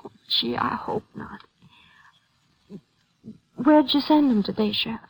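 Worried young mother: highish voice, faltering and apologetic, old radio drama. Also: unhurried, gravelly, breathy edge, dry recording, heavily acted, rough recording. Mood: anxious, downcast. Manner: hesitant, apologetic, stammering. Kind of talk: 1950s radio drama acting.

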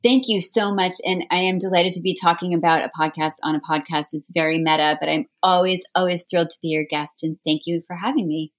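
A woman's voice: on the low side, level tone, fairly quick, hardly any pauses, fairly bright tone. A woman chatting casually as an interview guest, recommending books in an effusive, emphatic way.

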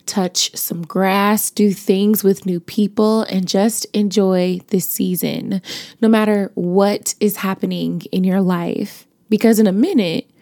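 A young adult woman hosting her podcast, chatty and playful, her voice raspy as it recovers from being lost, with features. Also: medium pitch, steady tone, takes it slowly, mellow, no room sound. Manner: chatty, playful, sprinkles in laughs and slang. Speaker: podcast host. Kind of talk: casual motivational podcast talk.

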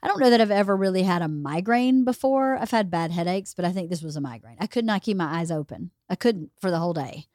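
A woman hosting a podcast in casual chat, her voice a little hoarse as she loses it, talking in a rambling, chatty way. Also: lowish voice, animated, fast talker, few pauses, a little mellow, no echo, studio quality. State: slightly hoarse, losing her voice. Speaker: podcast host.

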